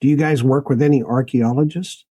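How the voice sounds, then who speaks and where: A man's voice: mid-range voice, fairly even intonation, fairly quick, warm tone. A man hosting a conversational interview, with a folksy, curious way of talking. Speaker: podcast host interviewing guests.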